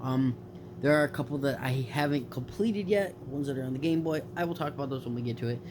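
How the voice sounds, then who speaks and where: A young man with a slightly stuffed-up nose talks casually about games late at night, wandering off track and correcting himself as he goes. His voice sits at an ordinary middle pitch. A clear hiss of background noise runs under the home recording. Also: fast. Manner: rambling, lots of fillers and self-corrections. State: stuffed up from allergies. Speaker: gaming YouTuber.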